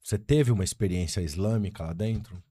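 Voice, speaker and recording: A man from Brazil hosts a podcast, putting his questions in a measured, formal way. His voice is very deep and mellow. The sound is dry and close, with little room in it. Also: dry recording. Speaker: podcast host. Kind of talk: interview questions.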